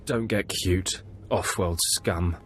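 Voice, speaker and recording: An actor playing an arrogant, bigoted imperial sergeant who barks contemptuous orders in a video game cutscene. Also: male voice, deep voice, takes it slowly, slight background noise. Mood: barking.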